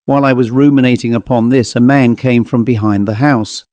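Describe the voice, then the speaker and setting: A man reading a ghost story as an audiobook narrator, in a measured, dramatic storytelling manner. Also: medium pitch, steady tone, darkish tone, dry recording, lo-fi sound.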